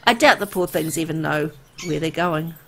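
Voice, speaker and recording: A woman playing a weary, soft-hearted young woman who narrates her bleak town in a visual novel, reflective and conversational. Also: deep voice, moderate pace, gravelly, a little hiss or hum. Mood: pitying, somber. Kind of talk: scripted first-person visual novel narration. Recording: music under the voice. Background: background music.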